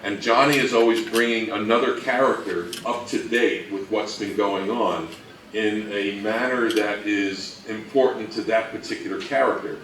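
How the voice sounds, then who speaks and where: A man giving an informal, explanatory talk on radio history as a presenter, speaking somewhat haltingly. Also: fairly low pitch, fairly even intonation, a bit bright. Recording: roomy, distant mic, faint noise.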